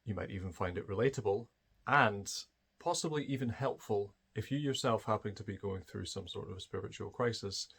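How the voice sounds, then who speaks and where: A man's voice: fairly low pitch, gravelly, fairly bright tone, breathy edge. A man with a Scottish accent tells a personal story as a channel host, speaking in a thoughtful, hesitant way with frequent fillers and restarts. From Scotland.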